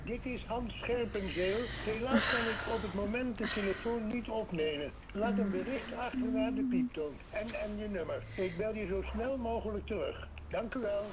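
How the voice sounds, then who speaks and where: Guy doing a voicemail dude, high voice, formal, noisy phone line. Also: normal speed, close to an everyday voice. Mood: polite. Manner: formal, recited. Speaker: voicemail greeting. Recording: noisy phone answering machine.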